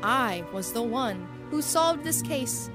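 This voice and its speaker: A woman's voice: on the high side, lively intonation, takes it slowly, loud music behind the voice. A woman playing a confident, scheming young student and true-crime podcaster in an audio drama, polite but pointed. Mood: smug, threatening. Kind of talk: scripted audio drama acting.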